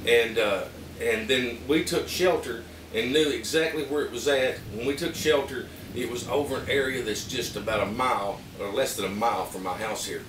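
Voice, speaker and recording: Echoey room, distant mic, man, Arkansas Southern accent, mid-pitched voice, halting plain-spoken vlog review. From Arkansas, United States. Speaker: vlogger reviewing emergency gear. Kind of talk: casual product review to camera. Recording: roomy, distant mic with background noise.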